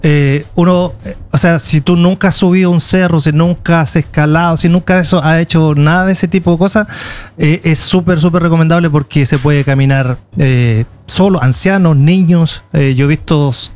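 Chilean man, tour guide guest, chatty and a bit high-pitched, lots of restarts. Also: fairly even intonation, a little mellow. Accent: Chilean Spanish. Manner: chatty, restarts often, draws out pauses. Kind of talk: casual conversation.

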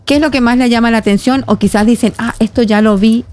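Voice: medium pitch, steady tone, fast talker, a little mellow, soft background music. An interview host asking a guest long, winding questions in a casual chat.

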